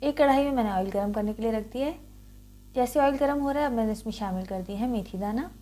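Female cooking host, slightly high voice, step-by-step instructional recipe narration. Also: fast talker, mellow.

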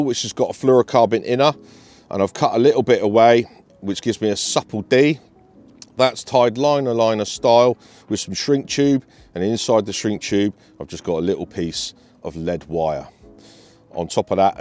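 A man's voice: lowish voice, darkish tone. A British man with a British accent, presenting a fishing feature as an angler and explaining things to camera. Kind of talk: instructional talk to camera. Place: outdoors on a lake bank.

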